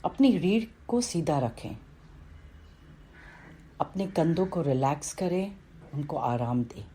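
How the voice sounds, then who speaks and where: A woman reading a scripted guided meditation in a calm, instructional way, with pauses between phrases. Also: low voice, a little hiss or hum.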